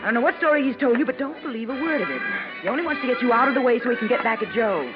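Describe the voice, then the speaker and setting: A woman acting a quick, urgent femme fatale. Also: on the high side, lively intonation, lightly acted. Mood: urgent, warning. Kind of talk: 1940s radio detective acting. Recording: muffled old radio recording, loud dance music behind.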